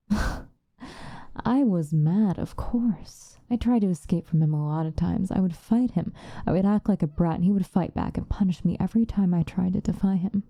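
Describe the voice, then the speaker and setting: Woman playing a dark, hushed, seductive vampire. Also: fairly low pitch, no echo. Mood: somber, resigned. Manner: soft, breathy, drifting into whispers, unhurried. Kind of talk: ASMR roleplay, intimate confessional monologue. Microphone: close microphone, dry.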